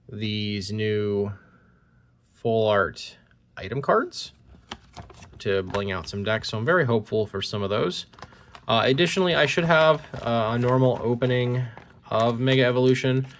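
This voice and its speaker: A man's voice: lowish voice, a bit bright. Chatty man with a stuffy cold. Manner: chatty, reads card text aloud. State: slightly congested from a cold. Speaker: card-opening YouTuber. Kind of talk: casual card-opening commentary. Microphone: phone recording at point-of-view angle.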